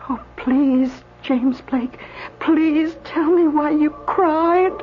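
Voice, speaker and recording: A high-voiced, earnest, gentle woman. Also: drawn-out words. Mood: tearful pleading. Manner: hesitant, repeating words, pleading. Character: gentle, earnest woman keeping watch over a dead man. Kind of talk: radio drama acting. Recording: muffled, noisy old radio broadcast.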